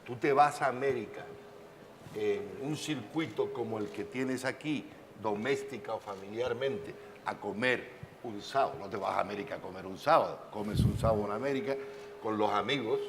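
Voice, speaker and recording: A man's voice: lowish voice, normal speed. A man with a Canarian Spanish accent speaking emphatically on a public panel, telling anecdotes. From Canary Islands. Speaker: panelist, novelist. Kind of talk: panel discussion. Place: large hall at a public panel. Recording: hall reverb with faint noise.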